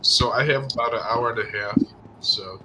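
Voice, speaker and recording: A man's voice: medium pitch, moderate pace, bright, forceful tone, slight background noise, rough recording. A man who sounds congested from being sick, chatting casually while gaming, with short, laid-back replies.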